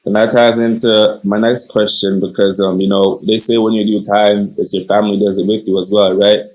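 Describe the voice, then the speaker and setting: A man's voice: on the low side, flat delivery, normal speed, darkish tone, poor audio. A man interviewing conversationally, feeling his way through questions and rephrasing as he goes. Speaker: interviewer, host.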